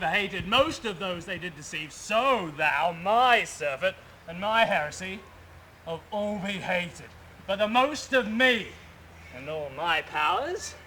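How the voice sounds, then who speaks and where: An ardent young lover in old verse, played by a man. His voice is high for a man and moves widely, taken slowly, with a faint outdoor hum. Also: bright, forceful tone, heavily acted, low-quality recording. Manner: earnest verse, breaks into laughter. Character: Lysander, young male lover, ardent and fickle. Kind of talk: Elizabethan verse drama.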